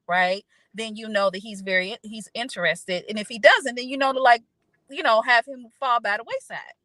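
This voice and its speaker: A woman with a high, bright, forceful voice talks animatedly on a relationship podcast. Her delivery is lively, and she lilts into a sugary tone when giving examples. The sound is close and dry, with no room behind her. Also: dry recording. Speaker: podcast co-host. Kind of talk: casual chat.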